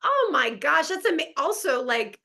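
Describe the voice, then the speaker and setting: A woman's voice: high voice, big pitch swings, normal speed, creaky, bright, forceful tone. A woman from Montreal whose first language is French, chatting upbeat as an actress guest on a video call, laughing often. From Montreal, Canada. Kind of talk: casual interview chat. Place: home in Los Angeles.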